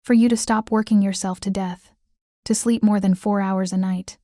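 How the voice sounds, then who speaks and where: A calm mafia boss with a commanding presence, low and unhurried, possessive under the composure, as a woman reads his lines. The delivery stays level with little rise and fall, in a mellow tone recorded close and dry. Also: no echo, close to an everyday voice.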